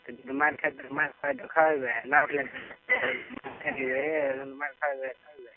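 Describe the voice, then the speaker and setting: Creaky-voiced man on a muffled phone call. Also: a little high, very animated, normal speed, noisy background. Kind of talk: casual flirtatious phone chat. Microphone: telephone.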